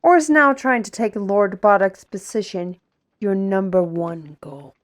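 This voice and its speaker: A woman's voice: mid-range voice, unhurried, close to an everyday voice. A woman playing a proud, defiant steward in a fantasy audio drama, with a formal, biting manner. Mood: dry, sardonic.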